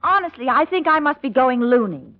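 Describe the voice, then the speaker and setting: A woman's voice: sits high, big pitch swings, brisk, a little hiss or hum. An American woman acting a detective's tart, loyal secretary in a 1940s-style radio drama. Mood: exasperated. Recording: dull vintage radio recording.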